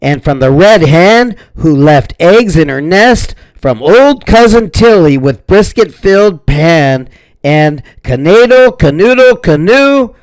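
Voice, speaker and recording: A man's voice: sits high, animated, takes it slowly. A man voicing a cheeky, boastful little storybook matzah man who taunts in sing-song rhymes.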